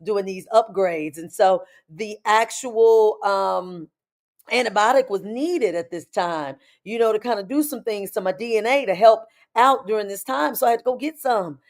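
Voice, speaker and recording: A woman with a hoarse, raspy voice, hosting a casual live-stream chat as a spiritual coach and talking familiarly to her viewers. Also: mid-range voice, normal speed. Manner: chatty, familiar, calls viewers "baby" and "y'all".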